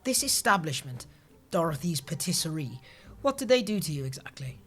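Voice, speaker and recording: A woman's voice: deep voice, very animated, a little fast, fairly bright tone, slightly rough, faint music behind, exaggerated voice. A woman playing an earnest, disapproving, rule-abiding office colleague in an audio sitcom, prim and wordy.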